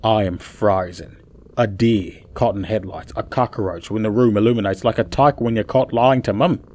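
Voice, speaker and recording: A low-voiced man played by an actor narrates his own eerie tale for a radio play. He is chatty and bewildered, with theatrical pauses and conversational asides. Faint noise runs behind the voice. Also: darkish tone, lightly acted. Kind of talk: first-person scripted story narration, radio play.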